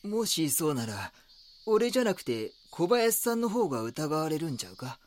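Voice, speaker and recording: An actor playing a defensive local shopkeeper with a Kansai accent and a blunt, curt manner, in an audio drama. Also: male voice, fairly high pitch, very animated, moderate pace. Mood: defensive, irritated. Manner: blunt, curt, casual dialect. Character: gruff, defensive local shopkeeper, suspicious of outsiders.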